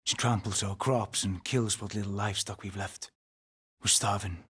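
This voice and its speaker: A man playing a weary, haunted Norse settlement leader in a video game, speaking gravely and deliberately in a husky, breathy voice. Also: fairly low pitch, steady tone, a bit bright, dry recording, clean studio sound. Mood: grave, hushed. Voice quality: husky, breathy, often near a whisper. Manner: slow, deliberate, grave, with pauses. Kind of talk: dramatic video game acting.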